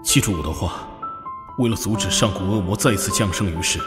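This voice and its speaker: A man playing a sorrowful, guilt-laden middle-aged father in an animated drama, grave and solemn, with dreamlike reverb. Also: deep voice, a little fast. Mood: grieving, solemn. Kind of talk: Chinese animated drama acting. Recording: long echoing reverb over music.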